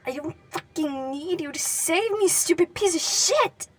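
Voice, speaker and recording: A woman voicing a furious, grieving, hot-tempered young man who yells and curses at an unmoving friend, his voice breaking. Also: fairly high pitch, moderate pace, husky, far from the mic. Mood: furious yelling. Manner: yelling, cursing, voice breaking. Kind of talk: dramatic fan fiction reading.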